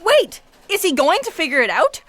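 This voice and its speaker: An anxious, demanding fact-checker, played by a woman, has a high, sharp, bright voice. She is excitable, in comic audio-theatre style, over faint music. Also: normal speed, exaggerated voice. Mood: urgent. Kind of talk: comedic audio theatre acting.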